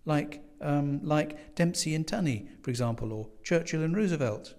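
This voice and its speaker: A man's voice: a little high, lively intonation, normal speed, darkish tone, pitched above the performer's own voice. A man voicing a polite, puzzled young lodger in a story, earnest and hesitant as he muses. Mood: earnest, searching. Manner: hesitant, musing, insistent. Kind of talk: storybook character voice.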